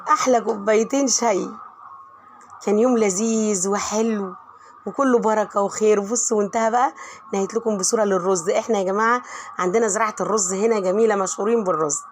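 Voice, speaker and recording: Hoarse, chatty Egyptian woman vlogger. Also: medium pitch, a little hiss or hum. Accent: Egyptian Arabic. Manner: warm, chatty, talks straight to viewers. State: hoarse from a cold. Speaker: home vlogger and mother. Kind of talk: chatty voice-over narration of home cooking.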